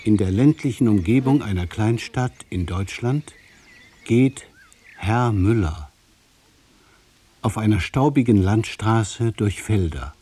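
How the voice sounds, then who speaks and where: A male radio play narrator with a dark, slightly husky voice. He reads scripted literary prose very slowly, in short deliberate phrases with pauses. The sound is dry and close. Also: on the low side, no echo.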